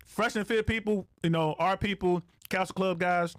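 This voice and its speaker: A man's voice: high voice, fairly even intonation, normal speed, a bit bright, no echo, clean studio sound. A middle-aged man chatting casually as a podcast guest, slangy and emphatic, repeating phrases to drive points home. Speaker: guest, retired air traffic controller.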